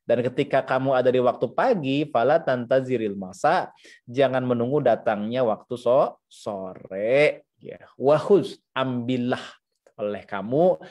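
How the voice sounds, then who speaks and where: Indonesian guy doing an islamic lecture, mid pitched, explains stuff step by step and repeats himself. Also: a little slow, darkish tone. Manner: didactic, repeats phrases, conversational asides with frequent checking tags. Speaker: Islamic teacher. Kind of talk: religious lecture explaining a text, reading Arabic and translating.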